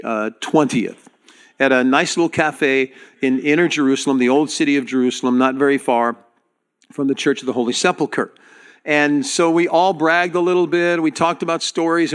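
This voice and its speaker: A man preaching to his congregation as their pastor, speaking earnestly and directly with halting restarts. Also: a little high, fairly bright tone, clean tone. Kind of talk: sermon.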